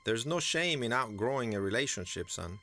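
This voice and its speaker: A man playing a kindly middle-aged blacksmith mentor in an animated drama, gentle, earnest and gravelly. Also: medium pitch, a little fast. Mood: earnest, tender. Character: kindly older male blacksmith, fatherly mentor. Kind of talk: dramatic animated series acting. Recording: voice over clear background music.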